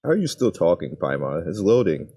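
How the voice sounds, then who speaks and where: A man's voice: deep voice, very animated, a little fast, dark tone. A man making offhand, wry commentary over gameplay. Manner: offhand, wry, mumbled asides.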